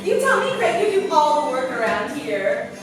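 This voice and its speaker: Sardonic, bossy female tech executive played by a woman at a staged reading, high and animated, recorded from a distance. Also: normal speed, music in the background. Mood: sarcastic. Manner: sarcastic, assertive. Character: sharp-tongued, sarcastic woman inventor and executive. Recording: very echoey room, distant mic, faint noise.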